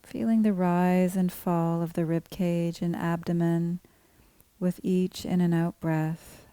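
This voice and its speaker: Female meditation guide: relaxed dark tone, very unhurried, calm measured instruction. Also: lowish voice, clear, resonant tone, no room sound. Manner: calm, unhurried, gently measured phrasing with pauses. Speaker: meditation teacher guiding a body scan.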